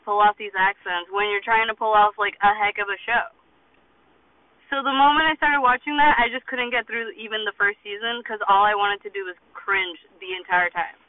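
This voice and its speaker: A man's voice: sits high, no echo. A man hosting a podcast from his car, chatting casually and ranting animatedly, swearing freely. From Boston, United States. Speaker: podcast host. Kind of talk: casual chat. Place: parked car. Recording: tinny phone-like audio in a car.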